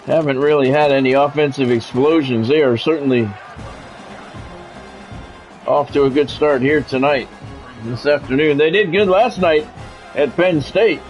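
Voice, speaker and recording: Male game streamer, slightly high voice, conversational play-by-play with music behind him. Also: a little slow, pauses often, highs cut off. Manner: conversational, drifting between announcer calls and musing. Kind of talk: casual sports game commentary mixed with chat.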